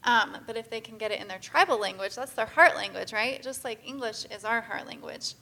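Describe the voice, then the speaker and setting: A woman's voice: a little high, bright, forceful tone. A woman speaking casually and earnestly to a church group about mission work, with frequent "um"s. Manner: casual, filler-heavy, earnest. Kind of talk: church presentation talk.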